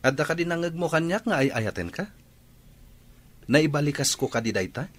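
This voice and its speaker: An actor playing a proud, cold young lover in a Filipino radio drama, with an Ilocano accent. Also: male voice, fairly high pitch, animated, moderate pace. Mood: defiant, dismissive.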